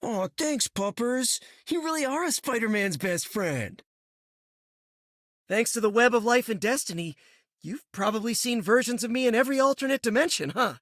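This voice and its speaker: A man's voice: extremely high pitch, expressive, normal speed, a bit bright, no echo, clean studio sound. An actor playing a joking young male web-slinging hero with a playful, quippy manner in a video game.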